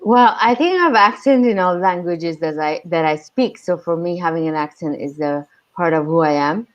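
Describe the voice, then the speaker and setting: A woman from Iran with an Armenian accent, co-hosting a podcast and chatting casually over a video call in a plain-spoken, slightly halting way. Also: on the low side, muffled recording. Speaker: podcast co-host.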